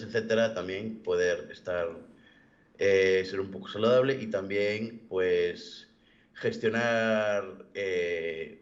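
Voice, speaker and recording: Young adult male, deep-ish voice, halting and self-correcting testimony over dull online-call audio. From born in the United States, living in Galicia, Spain. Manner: halting, rephrasing and repeating words. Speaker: event participant giving a personal testimony. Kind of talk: personal testimony in an online interview. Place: video call.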